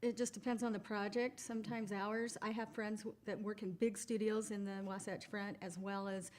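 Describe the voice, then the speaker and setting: A middle-aged woman voice actress chatting as a guest in an interview, upbeat and quick to laugh. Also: medium pitch, level tone, darkish tone, slight background noise. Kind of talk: casual interview chat.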